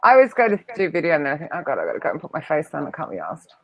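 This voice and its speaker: An Australian woman whose accent has softened from years in England, co-hosting a casual video call chat with playful, teasing asides. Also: deep voice, wildly swooping pitch, rapid-fire, lo-fi sound. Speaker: co-host.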